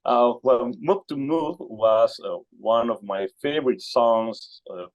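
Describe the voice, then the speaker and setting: A man's voice: mid-range voice, slow pace, a little mellow, highs cut off. A man with a Peruvian Spanish accent, a native Spanish speaker working as a radio interviewer, searching for words as he asks conversational questions. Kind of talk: conversational interview in a second language.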